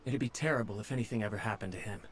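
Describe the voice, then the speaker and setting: A man's voice: lowish voice, racing, slight background noise, lightly acted. A man playing a stammering nervous young servant. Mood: worried. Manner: stammering, humble and anxious. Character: nervous young male servant of a noble clan.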